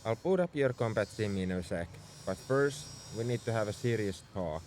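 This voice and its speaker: Exasperated, animated man acting as a mech engineer. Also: lowish voice, moderate pace, slight background noise, lightly acted. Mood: gruff, defensive. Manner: exasperated, sarcastic scolding. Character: tsundere mech engineer, scolding, sarcastic, secretly worried young man. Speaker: voice actor. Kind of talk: ASMR roleplay audio drama.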